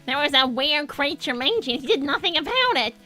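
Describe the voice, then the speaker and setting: A woman voicing a chatty, bossy young female cartoon hedgehog in an animated, indignant, improvised style. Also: fairly quick, faint music behind, full character voice. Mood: exasperated. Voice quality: very high, girlish, bright. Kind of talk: improvised in-character livestream banter, reading viewer messages.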